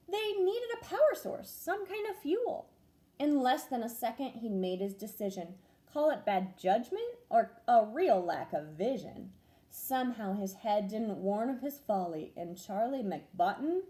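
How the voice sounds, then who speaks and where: Expressive, sing-song teacher reading aloud. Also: female voice, on the high side, unhurried. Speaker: first grade teacher. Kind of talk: reading a rhyming picture book aloud.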